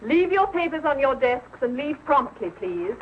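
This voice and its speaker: Strict teacher, prim, clipped, formal, 1940s sitcom, muffled sound, faint background hiss. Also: female voice, sits high, big pitch swings, moderate pace. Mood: stern. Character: strict, prim woman night-school teacher. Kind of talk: 1940s television sitcom acting. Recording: muffled, hissy old 1940s broadcast.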